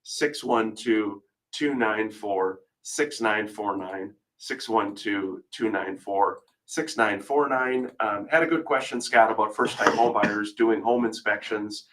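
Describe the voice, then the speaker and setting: A man's voice: on the low side, slow pace, hardly any pauses, a bit bright, poor audio. Slightly froggy American male radio host. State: battling a cold. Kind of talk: live call-in real estate radio talk.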